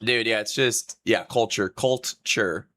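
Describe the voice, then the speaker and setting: A man's voice: lowish voice, slow, bright, forceful tone. A man hosting a casual livestream with a stuffy nose, blunt and laughing often. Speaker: streamer host.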